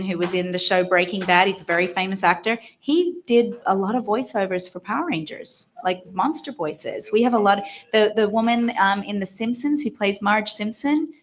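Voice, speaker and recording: An Australian woman talks in a loose, chatty way, with plenty of fillers and easy laughter. Her voice sits at an ordinary middle pitch. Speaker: actor guest at a fan convention panel. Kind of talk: casual panel chat.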